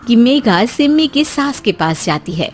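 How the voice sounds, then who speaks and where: A woman narrator reading a scripted animated moral story. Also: a little high, unhurried, a little hiss or hum.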